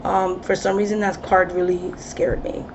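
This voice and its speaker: A woman's voice: on the low side, flat delivery, normal speed, background noise. A woman tarot reader in her thirties talking casually to camera, chatty, with many ums and restarts. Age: 30s. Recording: slightly dull recording with faint ambience.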